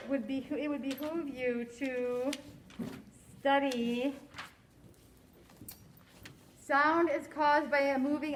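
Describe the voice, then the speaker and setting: A woman teaching a science lesson to a class, quizzing her students in a slow, deliberate way. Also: sits high, slight background noise.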